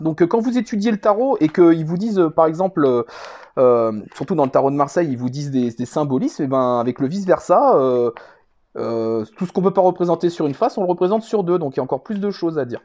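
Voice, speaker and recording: A man's voice: fairly high pitch, expressive, brisk, darkish tone. A man giving a casual, unscripted show-and-tell as a vlogger, speaking hesitantly and rambling, often repeating himself. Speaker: vlogger presenting a card collection.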